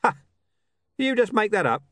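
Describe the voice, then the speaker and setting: A gruff, commanding police chief, voiced by a male narrator for a storybook. He is curt, suspicious and quick to swear, with the voice pushed up high and swinging widely in pitch. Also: very animated, a bit bright, no echo. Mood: suspicious, irritable.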